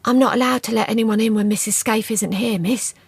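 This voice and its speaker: A woman's voice: a little high, steady tone, brisk, gravelly, slightly rough, no room sound, voice pushed up, full character voice. A woman voicing a shy, nervous young housemaid who speaks deferentially. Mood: timid. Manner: timid, deferential, soft.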